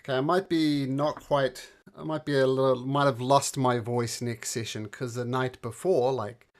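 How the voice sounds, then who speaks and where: A middle-aged man running a tabletop game as game master, relaxed and correcting himself as he explains the rules. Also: medium pitch, moderate pace.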